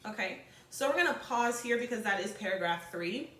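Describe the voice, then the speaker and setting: Higher-voiced woman teacher, echoey room. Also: expressive, brisk, fairly bright tone, a little hiss or hum. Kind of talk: read-aloud lesson with informal asides.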